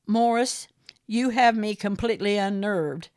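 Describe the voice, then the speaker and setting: A woman's voice: mid-range voice, a little slow, a little mellow, no echo, lightly acted. List: prim young schoolteacher, read by a woman, formal, polite, nervous but firm, dry sound. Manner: formal, trying to stay polite.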